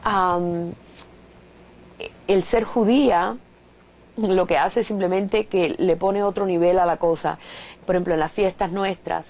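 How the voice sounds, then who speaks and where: Cuban woman professor, lowish voice, reflective and chatty, faint hiss behind. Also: steady tone, unhurried, pauses often, a little mellow. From Cuba. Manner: thoughtful, frequent restarts and rhetorical questions. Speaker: professor, interviewee. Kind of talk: reflective interview answers.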